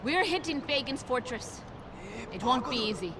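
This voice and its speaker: A high-voiced, earnest rebel fighter. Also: female voice, animated, moderate pace, crowd noise. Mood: urgent. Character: urgent, loyal female rebel fighter. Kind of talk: video game voice acting. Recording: distant, noisy outdoor ambience.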